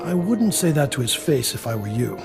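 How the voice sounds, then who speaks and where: Gravelly fat underworld boss. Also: male voice, mid-range voice, very animated, fairly quick, over music. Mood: businesslike, wry. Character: fat, gruff, worried male underworld boss. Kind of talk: video game character dialogue.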